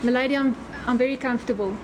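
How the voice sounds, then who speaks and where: A woman's voice: high-pitched, steady tone, quick pace, noisy background. A woman whose first language is Afrikaans, testifying as a witness in court with an Afrikaans accent, hesitant and correcting herself. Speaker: trial witness.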